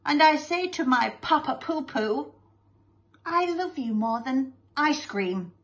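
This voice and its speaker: A woman's voice: sits high, expressive, takes it slowly, a bit bright, voice pushed up, exaggerated voice. A woman voicing a smug, soppy little storybook schoolboy who talks in whiny, sugary baby talk. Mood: sugary, adoring.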